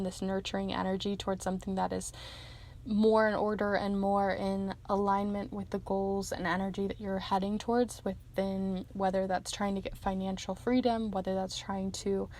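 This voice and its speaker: A young woman reading pick-a-card charms and tarot for viewers, talking gently and meandering with frequent ums, her voice going hoarse. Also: mid-range voice. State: losing her voice.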